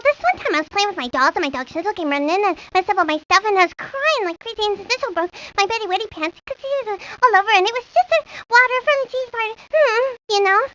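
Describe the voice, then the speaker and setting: A woman voicing a silly, excitable, talkative child with a rambling, giggly way of talking for a video game. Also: very high voice, exaggerated voice. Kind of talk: video game character lines. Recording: dull lo-fi old game audio.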